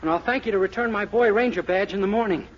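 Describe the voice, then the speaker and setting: A man's voice: high-pitched, fast, close to an everyday voice. Guy acting naive young boyfriend on old radio comedy, huffy and self-important, muffled hissy recording. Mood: wounded, haughty. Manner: indignant, self-important, mock-formal. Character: naive young man, jealous, indignant jilted boyfriend.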